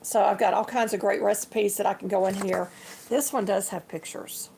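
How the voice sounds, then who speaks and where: A chatty older woman with a drawl hosts a homey livestream. Her voice has a gravelly edge and a slight morning hoarseness. A faint hiss sits under the recording. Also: medium pitch, fairly even intonation, normal speed, a little mellow. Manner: chatty, homey, addresses viewers as y'all. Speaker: livestream host. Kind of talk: casual livestream show-and-tell.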